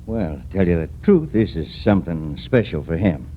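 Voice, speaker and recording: Crooked old country uncle, drawl, sly and folksy, vintage radio crime drama, muffled noisy recording. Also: male voice, sits low, very animated, moderate pace. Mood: sly, impatient. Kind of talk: 1940s radio drama acting.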